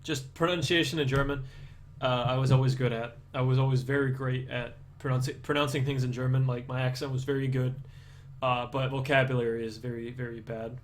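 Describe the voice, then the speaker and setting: A man's voice: medium pitch, fairly even intonation, brisk, slight background noise. A young Danish man with an American-leaning accent, chatting casually with viewers on a live stream and rambling with many restarts. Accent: Danish, aiming for American English. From Denmark.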